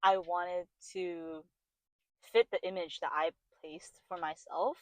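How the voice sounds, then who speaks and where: A young woman co-hosting a podcast, telling stories about herself in a lively, self-mocking way. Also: on the low side, slow pace, no room sound, low-quality recording. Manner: animated storyteller, self-deprecating, laughs often. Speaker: podcast co-host. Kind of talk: casual chat.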